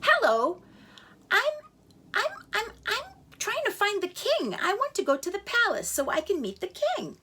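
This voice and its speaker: Brief: a tiny polite half-chick, high squeaky voice, breathless, put on by a woman storyteller. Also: huge pitch swings, moderate pace, heavy background noise, heavily acted. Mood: eager.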